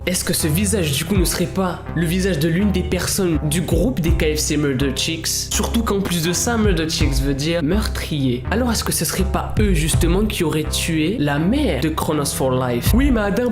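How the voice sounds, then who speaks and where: Teasing male YouTuber telling a web mystery over music in a roomy room. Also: on the high side. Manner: joking, teasing, talks straight to viewers. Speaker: YouTube storyteller. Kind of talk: casual storytelling of an internet mystery. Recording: roomy sound with background music.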